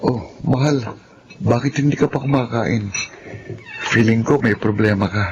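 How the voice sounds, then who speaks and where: A man's voice: medium pitch, measured pace, over loud music, close to an everyday voice. A man playing a hesitant, evasive young boyfriend with a Filipino accent in a radio drama. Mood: concerned. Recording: dull lo-fi audio with clear noise.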